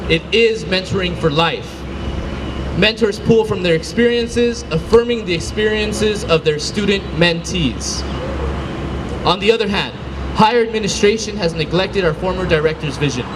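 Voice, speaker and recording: High-pitched man reading a formal public address. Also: moderate pace, noisy background. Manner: formal, earnest advocacy. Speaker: public speaker for an alumni and student group. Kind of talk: prepared public address read aloud.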